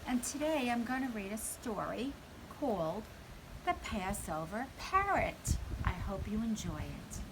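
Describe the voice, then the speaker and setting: A woman narrates a children's picture book with expression, acting out the lines of dialogue as she goes. Her voice sits at a medium pitch, and there is noticeable noise and room ambience behind her. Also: sing-song, measured pace. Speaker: story reader. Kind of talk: read-aloud children's storybook narration.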